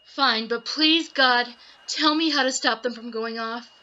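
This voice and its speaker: A woman's voice: on the high side, unhurried, sharp tone, muffled recording, slight background noise. A woman playing a quirky, frazzled young woman in a sitcom fandub, exasperated and theatrical. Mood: defiant, pleading. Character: frazzled, quirky young woman battling a beeping fire alarm.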